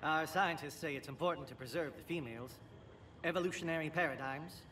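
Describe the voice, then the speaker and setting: A man playing a brisk amphibian alien military officer in a video game, speaking in a clipped, formal, dry way. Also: on the high side, a bit bright, lightly acted. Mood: brisk, wry. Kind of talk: video game cutscene acting. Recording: faint background noise in game capture.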